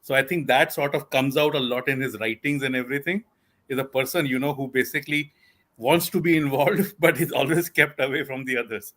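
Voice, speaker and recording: A man with a bright, forceful voice joins a casual panel discussion as a guest. He speaks in an animated way, often hedging with "you know". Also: medium pitch, a little fast.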